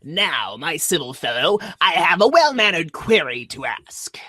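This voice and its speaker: A man voicing a pompous, overly polite vagabond with a raspy cartoon-villain edge and florid, formal diction. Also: fairly high pitch, very animated, a little slow, bright, forceful tone, no echo, heavily acted. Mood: stiffly polite.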